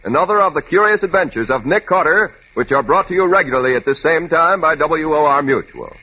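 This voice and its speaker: A man's voice: on the high side, lively intonation, a little fast, dull audio. An American man announcing a 1940s radio drama from a script, on an old radio recording.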